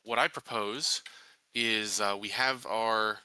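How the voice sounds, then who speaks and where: A man playing a tabletop roleplaying game, laying out plans casually in a deliberate, halting way. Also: fairly low pitch, steady tone, pushed and bright, clear, resonant tone, no room sound, low-quality recording. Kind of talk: casual in-character planning talk.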